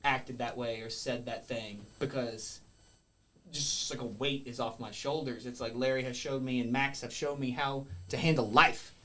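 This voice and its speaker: A man's voice: medium pitch, fairly even intonation, normal speed, a bit bright, a little hiss or hum. Earnest young man, rambling. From Kentucky. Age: 20s. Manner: rambling, self-correcting, earnest. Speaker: guest, professional wrestler. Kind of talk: casual podcast interview.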